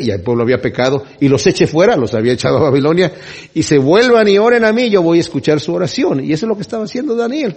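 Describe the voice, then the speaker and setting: Male pastor, expository sermon, husky tone, somewhat high pitch. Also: darkish tone. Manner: expository Bible teaching, asks the listeners questions.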